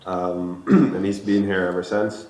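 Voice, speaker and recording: A deep-voiced man in his thirties from Finland, a native Swedish speaker, answering interview questions in a calm, level tone. He talks in a relaxed, reflective way and restarts his sentences. The lapel mic picks up a roomy echo with a faint hum of ambience. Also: measured pace. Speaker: guest. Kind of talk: casual interview talk. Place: small studio room, seated in armchair.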